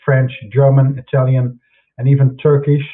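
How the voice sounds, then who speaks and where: A man with a Dutch accent talks through his websites and books for an online audience. He speaks at a middling pitch and hesitates often, restarting his sentences. The sound is narrow and phone-like. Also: level tone, moderate pace. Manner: hesitant, many fillers and restarts. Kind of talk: casual talk during an online broadcast.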